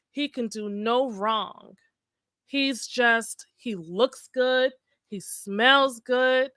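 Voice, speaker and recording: A woman's voice: fairly high pitch, bright, forceful tone, dry recording, studio quality. A woman co-hosting a podcast in casual conversation, speaking in an emphatic, colloquial way. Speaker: podcast co-host.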